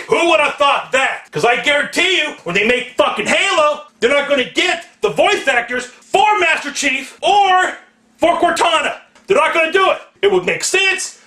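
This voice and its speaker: Male ranter, very high-pitched and sharp, swearing and exasperated, roomy sound. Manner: ranting, sweary, emphatic, exasperated. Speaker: host of a video rant segment. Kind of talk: profane angry film rant. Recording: echoey room.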